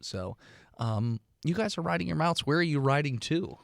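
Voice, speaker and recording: Man hosting, with a creaky edge. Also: a little high, lively intonation, moderate pace. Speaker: podcast host and game master. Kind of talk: casual banter and tabletop game narration.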